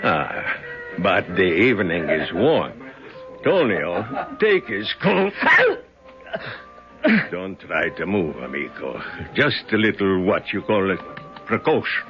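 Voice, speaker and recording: An actor playing a big, mock-polite, menacing Italian bouncer with an Italian accent in a 1970s radio drama. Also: male voice, low voice, sing-song, slow pace, long pauses, heavily acted. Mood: menacingly polite. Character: huge, muscular, oily Italian nightclub bouncer. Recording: dull vintage radio recording with music.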